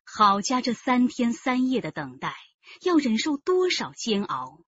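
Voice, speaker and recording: A woman's voice: fairly high pitch, wildly swooping pitch, measured pace, fairly bright tone, husky, no echo. A woman giving dramatic scripted narration for a radio drama. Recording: dull, dry studio recording.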